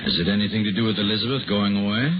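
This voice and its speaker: An actor playing an amiable, kindly reverend in a 1950s radio drama, chatty and folksy with an old friend. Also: male voice, on the low side, rapid-fire, close to an everyday voice. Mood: concerned, kindly. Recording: very noisy, muffled old radio recording.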